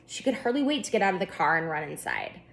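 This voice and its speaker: A woman author narrating her children's book at storytime, with expressive, deliberate phrasing for young listeners. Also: fairly low pitch, vocal fry. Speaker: children's author reading aloud.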